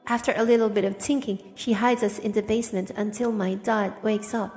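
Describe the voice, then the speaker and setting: A woman's voice: mid-range voice, fairly even intonation, brisk, darkish tone. A woman narrating a dramatic first-person story, with long reverb and music behind her.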